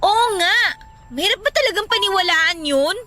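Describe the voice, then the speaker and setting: An anxious young college girlfriend in a Filipino radio drama. Her voice is very high and bright, snappish and accusing, with faint music underneath. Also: animated, normal speed, full character voice. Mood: irritated, anxious.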